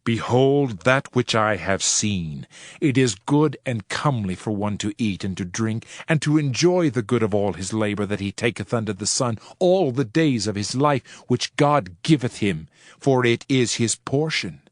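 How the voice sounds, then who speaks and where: A man giving a dramatized scripture reading with a solemn, measured delivery. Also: on the low side, expressive, no room sound, clean studio sound. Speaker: scripture reader. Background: faint background music.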